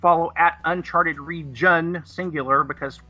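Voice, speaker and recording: A man speaking as a guest in a casual live interview, halting and rephrasing as he explains. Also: a little high, steady tone, sharp tone. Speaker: radio guest, audio drama producer. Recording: dull, lo-fi remote connection.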